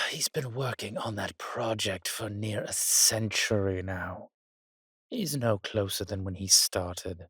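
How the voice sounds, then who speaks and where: Man acting a slow, husky, roguish old vampire. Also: on the low side, bright, forceful tone, no echo, studio quality, heavily acted. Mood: dismissive, coaxing. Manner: theatrical, archaic turns of phrase, teasing. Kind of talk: intimate roleplay audio drama.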